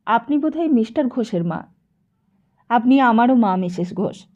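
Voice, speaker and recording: A woman voicing a smooth-talking, meddling gentleman who moralises and flatters in an audiobook. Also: fairly high pitch, normal speed, highs cut off, lightly acted. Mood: ingratiating. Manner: ingratiating, moralising.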